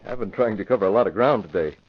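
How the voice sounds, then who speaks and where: A man's voice: lowish voice, rapid-fire, a little hiss or hum, natural voice. An actor playing a serious, resolute newspaperman with clipped, earnest speech in an old radio drama. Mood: grave. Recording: muffled old radio recording.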